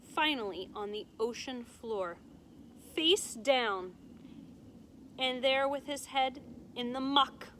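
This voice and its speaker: A woman teacher reading a children's storybook aloud in a deliberate, expressive way, explaining things to her students. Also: a little high, background noise.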